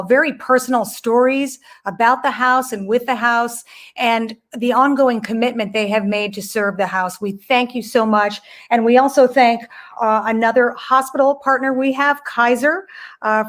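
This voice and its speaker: A woman's voice: on the high side, a bit bright. A woman hosting a virtual charity gala, giving gracious thanks and introductions. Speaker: gala host.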